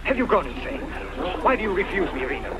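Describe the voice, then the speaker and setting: Man acting a high, swooping, blustering villain. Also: sing-song, quick pace. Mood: exasperated. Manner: blustering, theatrical. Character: scheming male villain, accused murderer seeking power. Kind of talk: dubbed sword-and-sandal film melodrama. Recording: dull, noisy fan-dub audio.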